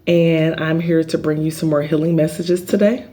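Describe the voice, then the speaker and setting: A middle-aged man hosting a spiritual healing channel, talking in an earnest, halting way with lots of filler ums and a big voice. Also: a little high, little pitch movement, mellow. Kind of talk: spiritual healing vlog monologue.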